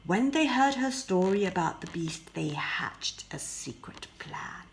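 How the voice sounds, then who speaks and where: Slow, creaky-voiced woman storyteller. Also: on the low side, lively intonation, poor audio. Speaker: storybook narrator. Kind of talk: scripted fairy-tale narration. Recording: faint background noise.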